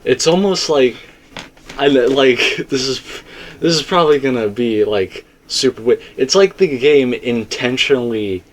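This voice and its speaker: A man's voice: mid-range voice, unhurried, slight background noise. A man in a casual, rambling fan discussion, repeating himself for emphasis as he co-hosts. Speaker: co-host discussing video game theories.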